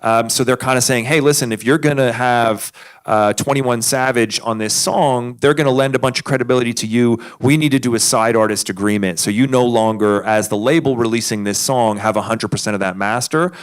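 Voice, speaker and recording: A man's voice: medium pitch, fairly quick, clear, resonant tone. A man moderating a live panel discussion at a conference, talking in a loose, conversational way with fillers. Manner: conversational, thinks aloud with fillers.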